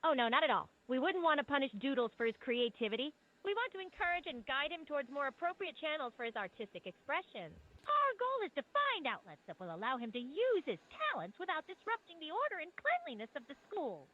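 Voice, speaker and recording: A lo-fi recording of a high-voiced woman with a very lively, swooping delivery. She speaks formally and courteously, like a diplomatic school principal on the phone, full of effusive politeness. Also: fast talker. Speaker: AI prank caller posing as school principal. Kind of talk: polished, formal phone-call speech. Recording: dull lo-fi phone call playback.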